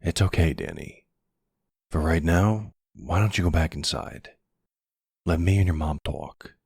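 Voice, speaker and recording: A man playing a smug, flirtatious middle-aged mafia boss in an ASMR roleplay drama, sweet-talking and gravelly. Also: deep voice, a little slow, a little mellow, dry recording, clean studio sound, heavily acted. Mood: smug, commanding.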